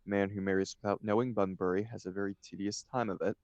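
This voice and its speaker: A man voicing a flippant, witty young gentleman dandy, airy and theatrical, in a stage comedy table read. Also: on the low side, fairly even intonation, fast talker, no echo, muffled recording, close to an everyday voice. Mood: breezy, witty.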